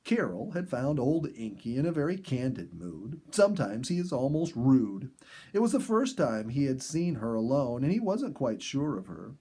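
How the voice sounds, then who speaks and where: Expressive male storyteller, lively pitch swings. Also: moderate pace, breathy edge. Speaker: audiobook narrator.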